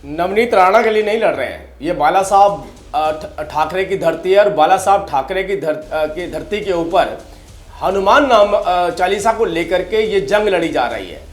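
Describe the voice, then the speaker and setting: A man's voice: sits high, lively intonation, unhurried. A man on a debate panel arguing heatedly, emphatic and repetitive, with rhetorical questions. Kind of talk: heated political debate argument. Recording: roomy, echoey sound.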